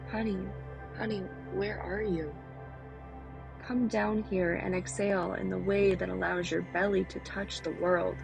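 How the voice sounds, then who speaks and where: A woman's voice: medium pitch, gravelly. A woman reading a poetic scripted monologue in an intimate, earnest manner. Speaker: monologue performer. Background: music.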